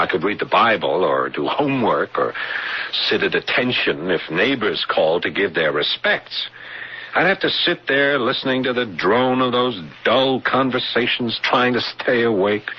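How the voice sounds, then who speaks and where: An actor in a radio drama playing a haunted man who recounts a frightening boyhood memory in halting, stammering phrases, on a noisy vintage broadcast. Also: fairly low pitch, big pitch swings, dull audio. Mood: brooding, wry. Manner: halting, stammering, repeating words.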